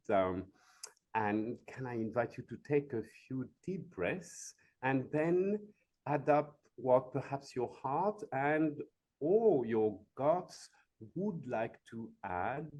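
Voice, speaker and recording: A man leading a live coaching demonstration as an executive coach, speaking gently and invitingly, with many fillers and "right?" check-ins. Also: mid-range voice.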